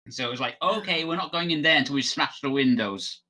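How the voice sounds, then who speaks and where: Casting: British male panelist, sharp bright tone, average pitch, conversational and factual. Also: fast talker, rough recording. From Britain. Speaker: podcast panelist. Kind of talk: casual explanatory chat.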